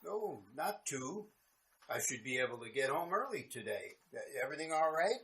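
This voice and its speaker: A woman's voice: deep voice, big pitch swings, moderate pace, fairly bright tone. A woman playing a concerned husband. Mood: reassuring. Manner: reassuring, probing. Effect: phone call in story. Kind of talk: radio drama acting.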